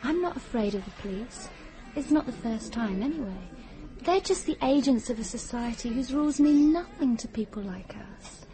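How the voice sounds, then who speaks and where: Actress as high-voiced, possessive young woman. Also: expressive, moderate pace, warm tone, lightly acted, low-quality recording. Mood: intense, confiding. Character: possessive, secretive young woman, intense and eerily devoted lover. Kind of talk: radio drama acting.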